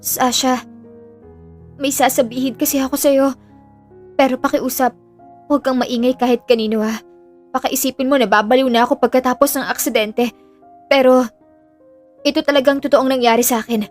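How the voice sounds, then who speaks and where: A woman's voice: high-pitched, fairly even intonation, normal speed, long pauses, natural voice. A woman playing an earnest, burdened young woman in an audio drama, confiding in a subdued way with a Filipino accent. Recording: music under the voice.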